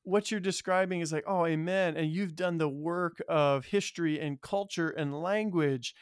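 Clear, higher-voiced male podcast host. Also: moderate pace, no echo, clean studio sound. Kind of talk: casual conversational interview.

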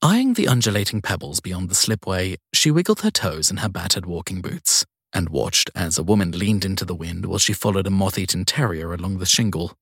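Male narrator, low pitch, husky edge, measured scripted storytelling, audiobook style. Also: a bit bright, no room sound, clean studio sound.

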